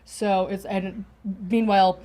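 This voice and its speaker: Reflective woman in her thirties, mid-pitched. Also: little pitch movement, mellow. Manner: reflective, measured, confiding. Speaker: panel guest. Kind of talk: casual panel discussion.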